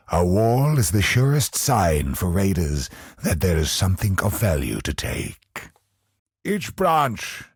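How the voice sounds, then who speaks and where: An actor playing an ancient, brooding godlike villain in a video game, speaking in grim, theatrical monologue with a husky, breathy voice and hushed phrase endings. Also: male voice, lowish voice, no room sound, clean studio sound, heavily acted. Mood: grim, ominous.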